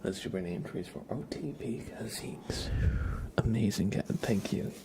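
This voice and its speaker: A man hosting an ASMR livestream in a breathy whisper and soft murmur, gentle, repetitive and unhurried. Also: on the low side, animated.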